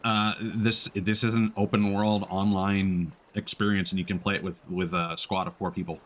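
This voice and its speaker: A man's voice: low voice, level tone, moderate pace. A man giving casual live commentary on a game, thinking aloud as he plays. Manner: thinks aloud while playing. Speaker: game streamer. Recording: faint game noise behind voice. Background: game ambience.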